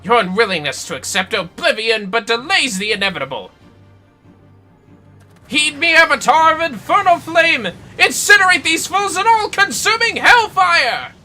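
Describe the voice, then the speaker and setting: Evil game sorcerer, high and forceful, grand and full of contempt. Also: male voice, very animated, moderate pace, soft background music, full character voice. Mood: wrathful, grandiose. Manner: theatrical, grandiose declaiming. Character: bombastic villainous male dark mage, contemptuous and wrathful.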